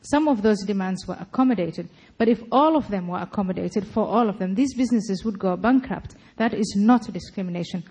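A woman giving a public talk, arguing her case forcefully. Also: medium pitch, brisk, hardly any pauses, husky. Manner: forceful, argumentative. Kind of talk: lecture and debate answering.

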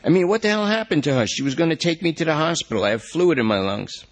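A man's voice: fairly high pitch, lively intonation, fast, voice pushed up. A man doing a whiny, rambling junkie. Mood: pleading. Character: jittery rambling male junkie under arrest.

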